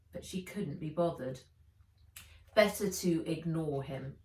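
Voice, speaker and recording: A woman narrates a children's adventure book at a measured, slow pace. Her fairly low voice swings expressively through the sentences, theatrical and clear in intent. She sounds roomy and set back from the microphone. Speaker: author reading own children's book. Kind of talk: dramatised audiobook narration. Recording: roomy, echoey, distant microphone.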